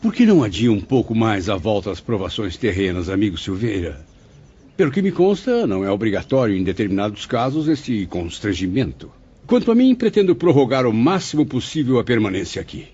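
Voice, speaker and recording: A man's voice: lowish voice, big pitch swings, a little fast, a little mellow, breathy edge. A man playing an inquisitive, courteous spirit in an audiobook drama, speaking formal literary lines. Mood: friendly, curious. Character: inquisitive, courteous male spirit narrating his afterlife memories. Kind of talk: dramatized audiobook narration and dialogue. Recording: dull lo-fi audio with music behind.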